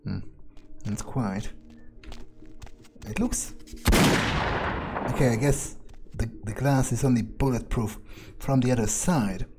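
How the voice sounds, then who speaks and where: A man giving in-character game playthrough commentary, smug, sardonic and profane, musing haltingly into a close microphone. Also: mid-range voice, music in the background. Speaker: gamer role-playing his character.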